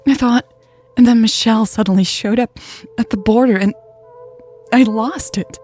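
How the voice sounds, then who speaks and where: A woman reader voicing a guilty, tearful woman who pleads with her lover softly and hesitantly in a romantic audio story. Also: fairly high pitch, moderate pace, slight background noise, pitched above the performer's own voice, lightly acted. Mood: desperate. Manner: soft, hesitant, breathy confessing. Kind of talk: audio fiction dialogue reading.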